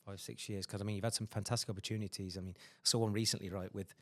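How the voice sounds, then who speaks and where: A man hosting a podcast interview, talking quickly and emphatically with many fillers and restarts. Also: on the low side, little pitch movement, fairly bright tone, no room sound. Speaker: podcast host. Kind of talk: casual interview chat.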